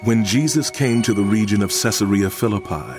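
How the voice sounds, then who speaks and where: A man's voice: lowish voice, brisk. A man giving scripted narration for a dramatized reading of scripture. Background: background music.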